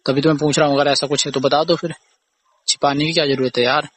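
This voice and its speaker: A man's voice: a little high, flat delivery, quick pace, a little hiss or hum. A young man arguing casually in an accusing, repetitive, aggrieved way on a phone call recording. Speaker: boyfriend or husband on a phone call. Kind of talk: casual argumentative phone conversation.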